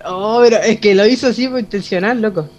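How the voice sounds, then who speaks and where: A man's voice: high voice, quick pace, a little mellow. A playful Chilean male chatting in slang with teammates during an online game. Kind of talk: casual chat while playing an online game. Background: video game gunfire.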